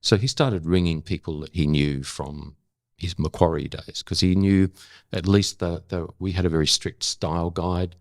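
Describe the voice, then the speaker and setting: An older man, telling stories in conversation in a thoughtful, halting way that often corrects itself. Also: sits low, steady tone, no echo, clean studio sound. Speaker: interview guest, former journalist and actor. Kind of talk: reflective conversational storytelling.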